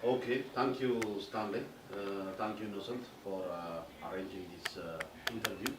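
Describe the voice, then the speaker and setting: A man in his forties from Ethiopia, an airline regional director answering interview questions haltingly with many fillers and restarts. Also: lowish voice. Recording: distant roomy mic with background noise.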